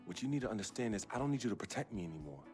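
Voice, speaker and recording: Game drama: loyal young athlete, lowish voice, earnest and firm, over music. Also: male voice, big pitch swings, racing, darkish tone. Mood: confrontational. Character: loyal, earnest young basketball star standing up to his sister. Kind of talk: dramatic video game cutscene acting. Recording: music under the dialogue.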